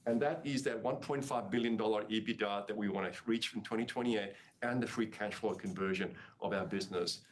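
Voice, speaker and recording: A man's voice: on the low side, a little fast, hardly any pauses, a little hiss or hum. A man speaking as a company executive, answering on an earnings call with emphatic, repeated and restarted phrases.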